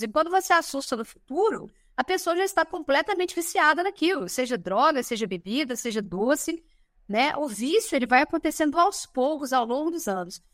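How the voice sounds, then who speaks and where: A Brazilian woman in her thirties, a guest chatting casually on a podcast, lively and talkative, often tagging her sentences with a questioning "né?". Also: high-pitched, no echo, studio quality. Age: 30s. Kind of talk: casual chat.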